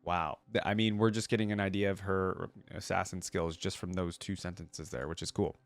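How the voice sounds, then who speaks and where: A man's voice: sits low, level tone, normal speed, no room sound. A man hosting a reaction video, commenting casually in an informal, slangy, enthusiastic fan style. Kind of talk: casual reaction commentary and story read-aloud.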